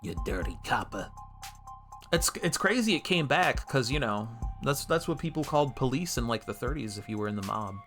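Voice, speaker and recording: A man's voice: mid-range voice, very animated, fairly quick, fairly bright tone. A man comments casually on a game he is playing. His voice sits in a middle register, and he talks in an informal, joking way, with music playing underneath. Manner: jokey, informal. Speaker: video game streamer. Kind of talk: playthrough commentary mixing casual reactions and voiced dialogue reading.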